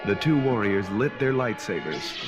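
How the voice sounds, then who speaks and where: Male storybook narrator over loud music. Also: fairly low pitch, animated, normal speed. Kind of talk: dramatic scripted children's story narration. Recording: 1979 cassette, loud music underneath.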